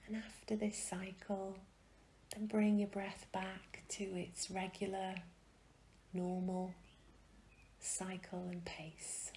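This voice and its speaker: A woman gently guiding a meditation in a soft, breathy, often near-whispered voice, soothing and unhurried, with long pauses. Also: mid-range voice, monotone, slight background noise, rough recording. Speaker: meditation guide. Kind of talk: guided meditation.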